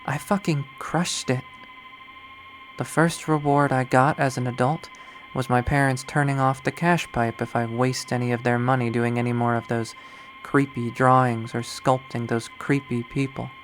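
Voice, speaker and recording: A man's voice: mid-range voice, sing-song, normal speed, faint music behind, close to an everyday voice. A man voicing a jaded, unhinged young woman artist in a bitter, profane, rambling monologue from a visual novel. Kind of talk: dramatic visual novel readthrough.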